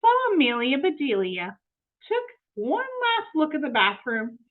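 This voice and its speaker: A woman reading a storybook aloud to young children in an expressive, teacherly way, with explanatory asides and questions to the listeners. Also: high-pitched, unhurried, phone-quality sound.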